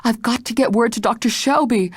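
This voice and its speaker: A frightened, trapped woman, as a female reader puts her on, pleading in a high, strained voice that climbs sharply above the reader's own low one. The sound is close and dry. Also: brisk, husky, no echo. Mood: desperate. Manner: desperate, high pleading whispers.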